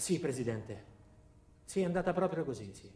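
A man acting a dark-comic monologue as a coldly cruel company man, speaking in a deliberate deadpan. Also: medium pitch, soft background music. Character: ordinary company man turned coldly cruel, addressing his boss. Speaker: actor performing a solo monologue. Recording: roomy, echoing sound.

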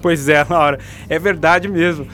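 An actor playing a patient, enthusiastic school teacher with a Brazilian accent, lecturing in a radio drama. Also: male voice, fairly high pitch, steady tone, a little slow, a bit bright. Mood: explaining. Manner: didactic, explanatory.

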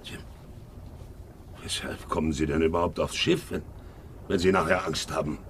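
Gravelly, brooding old sea captain. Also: male voice, very deep voice, fairly even intonation, normal speed, a bit bright, slight background noise. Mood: harsh, commanding. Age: older. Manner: brooding, swinging from muttering to harsh barking. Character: hard, brooding ageing ship's captain, self-justifying and haunted. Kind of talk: 1950s German radio drama acting. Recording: 1950s radio recording, faint music.

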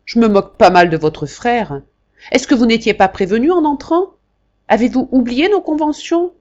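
A woman reading an audiobook, voicing an irascible old marquis who shouts and orders his secretary about. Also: on the high side, lively intonation, moderate pace, muffled recording, pitched above the performer's own voice. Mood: angry, imperious. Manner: blustering, imperious, raised voice. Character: irascible old male marquis, tyrannical employer.

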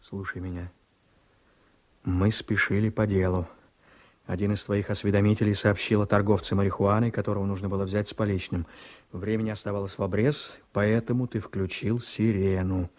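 An actor in a Russian radio play voicing an embattled, blustering politician who is desperate and scheming, speaking emphatically and commandingly. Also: male voice, lowish voice, level tone, normal speed, darkish tone, natural voice. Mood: urgent, commanding.

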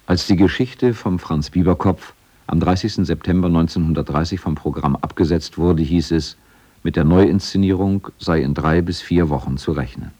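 A man reads documentary narration in a deep voice with a husky grain. The sound is dark and relaxed, and the delivery is scripted radio feature style. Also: moderate pace.

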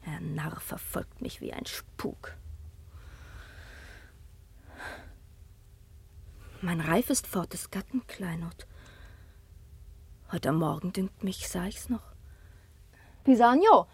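An actress playing a proud, steadfast young princess in a classic radio drama, formal and declamatory. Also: female voice, medium pitch, a little mellow, slightly rough, no echo, exaggerated voice. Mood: agitated, worried.

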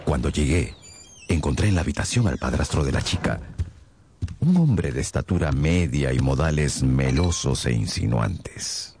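A man's voice: very deep voice, big pitch swings, moderate pace, a little mellow, slight background noise, full character voice. An actor playing a detective's loyal male companion, narrating the case in a radio drama with literary, storytelling phrasing.

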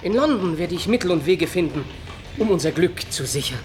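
An actor in a German radio play voicing a confident, earnest young adventurer and suitor, reassuring in manner. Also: male voice, sits high, animated, a little fast.

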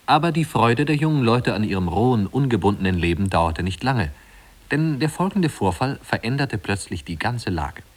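An actor playing a measured, gently ironic storybook narrator in a 1950s-style German radio play. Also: male voice, fairly low pitch, very animated. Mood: measured, wry.

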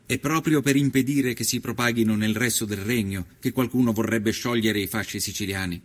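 An actor plays an educated journalist in a historical radio documentary. His male voice is on the low side. He probes in formal, measured phrases. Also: fairly even intonation, natural voice. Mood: inquiring. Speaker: interviewer. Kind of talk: dramatized documentary interview.

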